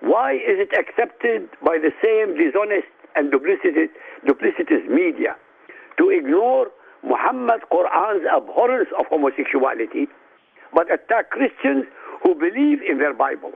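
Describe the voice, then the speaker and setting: A man calls in over a tinny phone line, his raised voice gravelly and husky. He lays out his case in an emphatic, declaiming manner, pushing key words up in pitch. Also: very animated, moderate pace. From Iraq. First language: Arabic. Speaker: radio guest commentator. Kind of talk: prepared political commentary.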